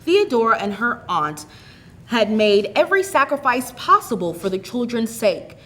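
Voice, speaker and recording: A woman reading a short story aloud as an expressive, scripted narrator. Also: fairly high pitch, normal speed. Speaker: story reader.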